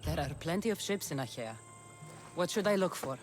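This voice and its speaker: An actress playing a bold young mercenary leader in a video game cutscene. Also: female voice, deep voice, animated, a little fast, faint music behind. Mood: resolute. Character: bold young adult Greek mercenary woman, seafaring leader.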